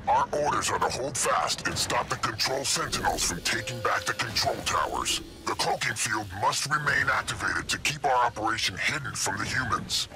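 An actor playing a cold, imperious alien warlord and military commander in a video game, threatening and gravelly. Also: male voice, mid-range voice, close to an everyday voice. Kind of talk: video game villain acting. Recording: loud music behind.

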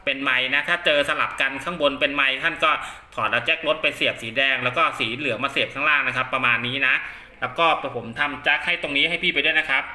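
A man's voice: mid-range voice, fast, sharp tone, echoey room. A man casually demonstrating equipment step by step, chatty and polite, like a seller testing a product for a buyer.

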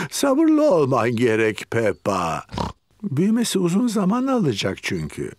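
A man voicing a kindly, patient old grandfather pig in a cartoon, jolly and drawn-out in delivery. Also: sits high, wildly swooping pitch, slight background noise, heavily acted. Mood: patient, amused.

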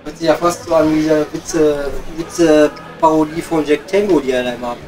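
A man's voice: on the high side, steady tone, moderate pace, darkish tone, close to an everyday voice. A man playing a grumbling, womanising coal miner with a Ruhr German accent, rambling wryly in an improvised comedy audio play. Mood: wry banter. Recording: slightly distant mic, music behind.

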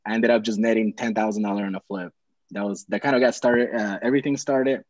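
A young man from Peru, speaking low and easy, tells a story in loose, rambling runs with lots of fillers and restarts. His voice comes through earbuds on a video call, with the dull, muffled tone of call audio. The sound is dry and close, with no room echo. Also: fairly even intonation, fast, darkish tone. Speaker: real estate investor guest. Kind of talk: casual video call chat. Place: small home room indoors.

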